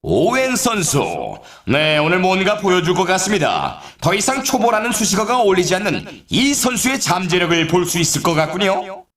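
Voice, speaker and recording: A man voicing an excitable, playful snowboard race announcer in a video game, with dramatic, showy delivery and drawn-out names. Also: high voice. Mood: excited, dramatic. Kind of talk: scripted sports commentary, event introductions. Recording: roomy echo on the voice.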